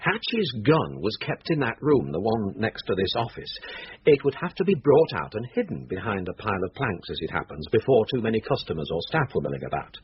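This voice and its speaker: Methodical English detective inspector, lowish pitch, lively inflection, dry courtesy. Radio drama. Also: male voice. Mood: measured, confident. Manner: measured, dry, formal. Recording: dull radio drama recording with faint noise.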